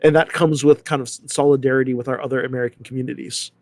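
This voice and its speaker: A man talks through his thoughts as a discussion panelist, at a middle pitch. He often restarts and repeats words, tagging points with "right?" The sound is close and dry. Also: fairly even intonation, darkish tone, no echo. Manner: halting, repeats words, seeks agreement with "right?". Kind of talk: panel discussion, thinking aloud.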